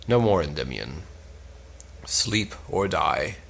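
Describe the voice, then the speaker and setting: A man's voice: sits low, big pitch swings, slow pace, gravelly, fairly bright tone, breathy edge, exaggerated voice. A man playing a lovesick, melancholy young dreamer, declaiming a brooding soliloquy in a cold read of a stage play. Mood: weary melancholy.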